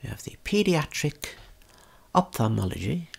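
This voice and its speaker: A tour guide giving a gentle, unhurried ASMR tour in a breathy whisper, explaining things as they go. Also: medium pitch, big pitch swings.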